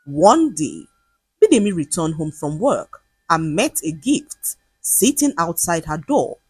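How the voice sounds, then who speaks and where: A woman narrating a scripted folktale in an expressive, dramatic storytelling manner. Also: lowish voice, slow, breathy edge, no echo.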